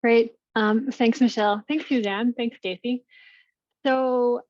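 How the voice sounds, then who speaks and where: A woman who manages a seniors network, presenting her program in a webinar with lively energy and some restarts. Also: a little high, takes it slowly, dry recording, muffled recording. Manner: energetic, talks through slides with restarts. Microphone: video call.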